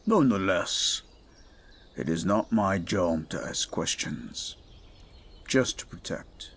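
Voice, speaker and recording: A man voicing a towering male goliath bodyguard who is stoic, dutiful and protective, speaking in a formal, measured, commanding way in an audio roleplay. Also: sits low, expressive, gravelly, a bit bright, a little hiss or hum, exaggerated voice. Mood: dry, gentle.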